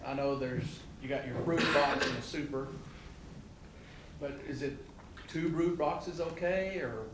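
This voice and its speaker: A man's voice: medium pitch, unhurried. A man in a class casually asking a question, thinking aloud. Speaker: student. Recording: distant mic in echoey room with noise.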